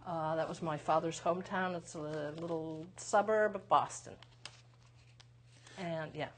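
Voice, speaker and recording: A woman in her sixties, a lawyer and author, telling her life story in a studio interview with an unhurried, reflective manner and frequent pauses. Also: low-pitched, fairly even intonation. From United States (Massachusetts). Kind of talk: conversational interview.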